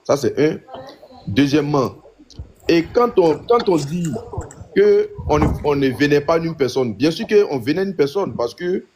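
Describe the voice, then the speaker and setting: A man's voice: on the high side, expressive, a little slow, darkish tone, slight background noise. An Ivorian man with an Ivorian accent argues in an impromptu debate, insistent and repeating his phrases for emphasis. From Ivory Coast. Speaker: panel debater in a live online discussion.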